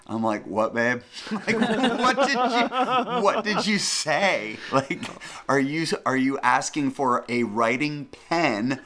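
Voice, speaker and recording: Animated middle-aged man from the northern US. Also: mid-range voice. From northern United States. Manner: animated, emphatic, repeats phrases. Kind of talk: casual conversational storytelling.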